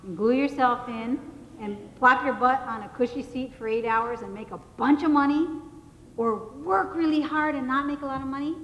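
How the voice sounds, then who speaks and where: A woman's voice: high-pitched, normal speed, highs cut off. A woman speaking earnestly as a farmer on a panel. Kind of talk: panel discussion answer. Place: large hall, panel event. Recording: echoey hall with faint noise.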